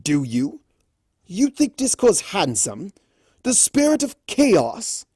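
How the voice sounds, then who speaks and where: A narrator voicing a brash, argumentative male pony in a story, loud and confrontational. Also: mid-range voice, wildly swooping pitch, slow, breathy edge, dry recording, clean studio sound, pitched above the performer's own voice, full character voice. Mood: scornful shouting.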